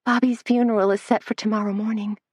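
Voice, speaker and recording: A woman's voice: level tone, fast talker, darkish tone, breathy edge, dry recording, clean studio sound, close to an everyday voice. A woman reading the lines of a flustered young female assistant, her voice raised and words tumbling out. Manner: breathless, words tumbling out, higher pitched.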